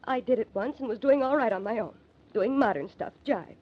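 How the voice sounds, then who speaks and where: An actress playing a distraught, determined young American woman in an old-time radio drama, earnest and halting. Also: a little high, expressive, breathy edge. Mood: earnest, confessing. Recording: muffled old radio recording.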